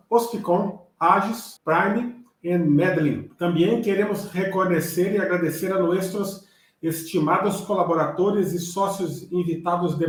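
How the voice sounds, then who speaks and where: A man's voice: high-pitched, roomy sound. Formal man hosting a webinar. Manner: formal, read from a script. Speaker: host, company director. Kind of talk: scripted webinar introduction.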